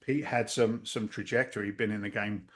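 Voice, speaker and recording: A middle-aged man, a real estate investor telling stories in a casual interview over a video call, lively and blunt, acting out voices. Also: lowish voice, moderate pace. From Massachusetts. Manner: animated storyteller, acts out quoted voices, swears casually.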